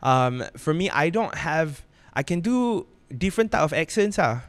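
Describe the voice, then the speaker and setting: Lively, animated man on a podcast. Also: on the high side, normal speed, dry recording. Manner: animated, expressive storyteller. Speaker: radio DJ, guest. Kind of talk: casual podcast interview.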